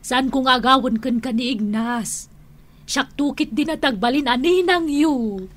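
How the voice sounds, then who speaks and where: A kindly auntie with a high voice and slow, drawn-out phrasing. She coaxes playfully in Ilocano-accented radio drama style. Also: fairly bright tone. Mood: playful coaxing.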